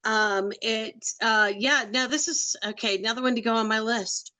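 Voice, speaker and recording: A woman's voice: a little high, normal speed, pushed and bright. Woman chatting on a podcast. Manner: chatty, uses fillers. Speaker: podcast co-host. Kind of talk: casual chat.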